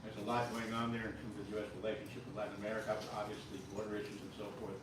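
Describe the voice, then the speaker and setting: A man's voice: lowish voice, completely flat delivery, racing. A man casually presenting upcoming events to an audience, hesitating with frequent uhs. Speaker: board member presenting events. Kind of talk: casual program announcements. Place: hotel banquet room at a luncheon. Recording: echoey room, speaker far from mic, noisy.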